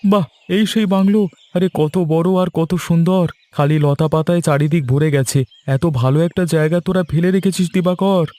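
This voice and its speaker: A man voicing a bold, adventurous young man in an animated horror story. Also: on the high side, normal speed, dry recording, close to an everyday voice. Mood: eager. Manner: eager, scoffing.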